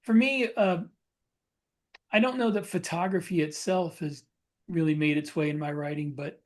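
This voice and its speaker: A man chatting casually as a podcast co-host, thinking aloud in a halting way with repeated phrases. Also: fairly high pitch, steady tone, darkish tone. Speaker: podcast co-host and science fiction author.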